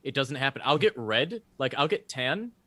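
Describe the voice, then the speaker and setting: A man's voice: mid-range voice, big pitch swings, normal speed, sharp tone, dry recording. A man chatting casually on a group video call, joking in a dry, matter-of-fact way. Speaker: improv show cast member. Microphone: remote video call on Zoom.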